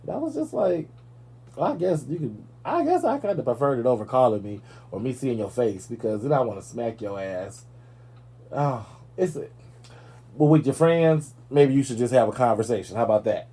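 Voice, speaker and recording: A man's voice: medium pitch, normal speed, slightly rough. A man hosting a live talk show, chatting casually and sassily about celebrity gossip, with playful asides. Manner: sassy, animated, playful asides.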